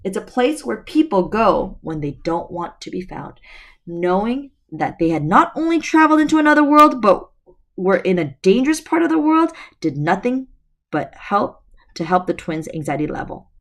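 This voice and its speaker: Slightly hoarse woman reading to students. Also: medium pitch, sing-song, normal speed. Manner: chatty, addresses students directly. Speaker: teacher reading aloud to students. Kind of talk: reading a novel aloud with asides. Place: room at home. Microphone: laptop webcam.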